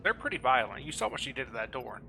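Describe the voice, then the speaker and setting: A man's voice: medium pitch, very animated, fast talker, gravelly, pushed and bright, a little hiss or hum. A man chatting casually over gameplay as a streamer, with a joking, self-deprecating manner. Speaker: gaming streamer. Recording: game music behind the voice. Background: video game music and sounds.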